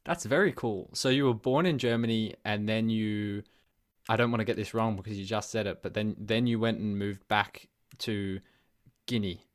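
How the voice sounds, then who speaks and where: Aussie guy hosting a chat, lowish voice, asks lots of curious follow-ups. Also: normal speed. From Australia. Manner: friendly, curious, many follow-up questions. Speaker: host. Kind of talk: casual interview chat.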